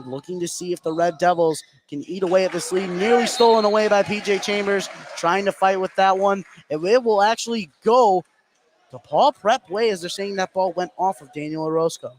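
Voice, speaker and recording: A man doing live play-by-play basketball commentary for radio, getting excitable on big plays. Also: high voice, moderate pace. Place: indoor basketball gym. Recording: noisy live gym broadcast. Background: loud game ambience.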